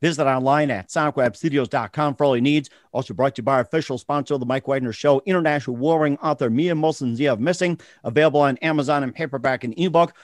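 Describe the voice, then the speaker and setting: Male host for sponsor reads, mid-range pitch, very dry close sound. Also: fast, few pauses, no echo. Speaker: podcast host. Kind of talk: promotional show hosting and sponsor reads.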